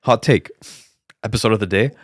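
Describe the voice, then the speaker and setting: A man's voice: fairly low pitch, a little fast, no room sound, studio quality. A young man co-hosting a podcast, chatting casually in a blunt, opinionated, sweary way. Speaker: podcast co-host. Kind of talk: casual chat.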